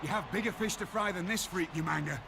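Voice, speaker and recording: A man's voice: high-pitched, fast talker, vocal fry, over a noisy crowd. A man playing a haughty, scheming wrestling manager in a video game, bossy and theatrical, against heavy background noise. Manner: commanding, theatrical. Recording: very noisy background.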